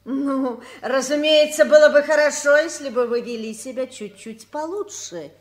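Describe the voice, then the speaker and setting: An actress playing a strict, prim, scolding orphanage matron in a radio play, with a stern, theatrical delivery. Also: female voice, high voice, normal speed, reverberant, close to an everyday voice. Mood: affected, instructing. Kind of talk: 1960s Soviet radio play acting.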